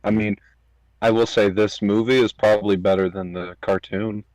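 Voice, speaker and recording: A man's voice: on the low side, fairly even intonation, normal speed, a little mellow, no room sound, poor audio. A man chatting casually as a podcast guest, slangy and full of opinions. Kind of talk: casual chat.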